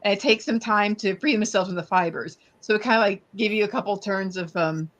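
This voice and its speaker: Female tabletop player, average pitch, casual and tentative, thinking through her moves aloud. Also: brisk, fairly bright tone. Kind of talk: casual game chat.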